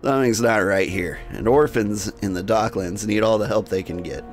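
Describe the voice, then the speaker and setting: A man hosting a game livestream, switching between jokey, rambling chat and reading game text aloud in a gravelly voice. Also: fairly low pitch, moderate pace. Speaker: game streamer and host. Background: music.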